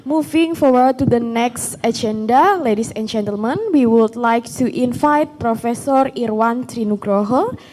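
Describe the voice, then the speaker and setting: Woman emcee, polite formal announcing, higher voice, long hall reverb. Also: darkish tone. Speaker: master of ceremonies. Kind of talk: formal event hosting. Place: conference hall.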